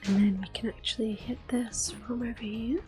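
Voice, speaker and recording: A woman giving gentle, unhurried, soft whispered ASMR commentary on a game as the host. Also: a little high, steady tone, soft background music.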